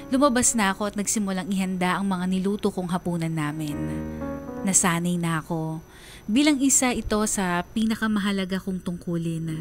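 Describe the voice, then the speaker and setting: Woman acting a sad daughter narrating a radio drama, earnest, medium pitch, music under her. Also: natural voice. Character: sorrowful daughter telling her family's story in a letter.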